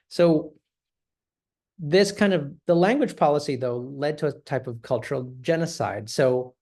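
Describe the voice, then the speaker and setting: A man presenting as an English teacher, speaking in an explanatory way with frequent pauses and restarts. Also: medium pitch, a little mellow. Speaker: ESL teacher giving a presentation. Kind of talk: recorded class presentation.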